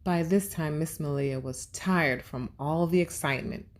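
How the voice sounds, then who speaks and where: A woman's voice: low-pitched, normal speed. A woman hosting a children's read-aloud and lesson, speaking expressively and addressing young listeners directly.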